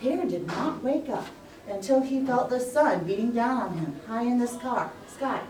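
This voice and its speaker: Woman, children's storyteller, animated, expressive, simple phrasing, roomy recording, faint noise. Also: a little high, normal speed. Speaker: storyteller and puppeteer for young children. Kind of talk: live children's story narration. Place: room with a pre-school class. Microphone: distant recording mic. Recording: echoey room, distant mic, faint noise.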